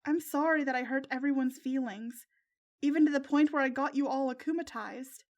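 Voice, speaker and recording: A woman voicing an anxious, tender-hearted teenage girl with a soft, earnest, emotional way of speaking in a narrated story. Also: sits high, fairly quick, close to an everyday voice. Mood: guilty.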